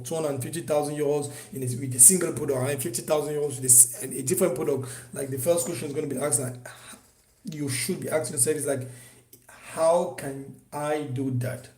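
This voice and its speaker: A man from Cameroon teaching an online business course, talking in an animated way with many restarts and fillers. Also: on the high side, roomy sound. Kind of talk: unscripted course presentation.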